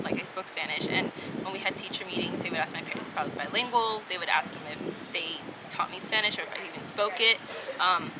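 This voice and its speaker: A woman's voice: fairly low pitch, noisy background. A young woman, a college student, answering interview questions casually with many fillers. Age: young adult. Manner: many fillers, thinking aloud.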